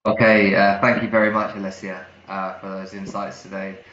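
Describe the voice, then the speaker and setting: A man moderating a webinar, thanking the speaker and opening the questions politely, with halting fillers. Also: sits low, little pitch movement, fairly bright tone. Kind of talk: hosting a Q&A. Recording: echoey, dull call audio.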